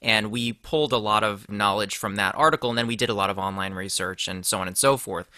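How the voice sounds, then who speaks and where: A man from Connecticut, a screenwriter being interviewed, telling a story in a casual, excitable and informal way. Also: fairly low pitch, fast, no echo, studio quality. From Connecticut, United States.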